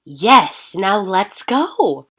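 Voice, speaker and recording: An actress playing a stern, secretive and impatient alien treasure hunter in an audio drama, speaking in a curt, commanding manner. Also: female voice, medium pitch, sing-song, drawn-out words, husky, heavily acted. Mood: solemn, impatient.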